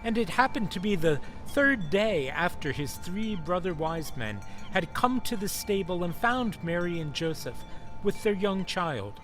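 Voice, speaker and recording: A man narrates a scripted tale in a fairly high voice. He reads very slowly and carefully, pausing between phrases. Faint music plays behind him. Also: sing-song, a bit bright, rough recording. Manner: measured, with careful pauses.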